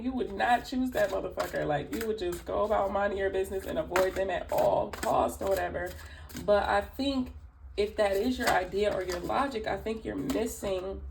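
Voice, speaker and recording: A woman with a raspy early-morning voice, chatting through a tarot reading with many fillers and asides. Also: medium pitch, darkish tone, a little hiss or hum. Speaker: tarot reader. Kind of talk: casual spoken tarot reading.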